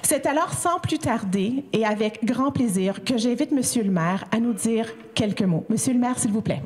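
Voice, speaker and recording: A woman's voice: on the high side, lively intonation, moderate pace, darkish tone, slight background noise. A woman in her thirties from Ottawa, hosting a city hall ceremony in a chatty, joking way and laughing between lines. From Ottawa, Canada. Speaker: master of ceremonies.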